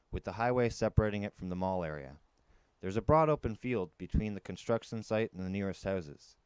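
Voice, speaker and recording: A man's voice: on the low side, darkish tone, clean tone. A man reading a first-person story aloud as a dramatic narrator, on an amateur recording. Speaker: audiobook narrator.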